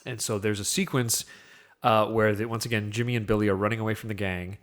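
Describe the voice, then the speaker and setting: A man's voice: on the low side, steady tone, fast talker. A man co-hosting a film podcast, talking casually and telling things as a story with acted-out asides. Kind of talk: casual film discussion with plot recap.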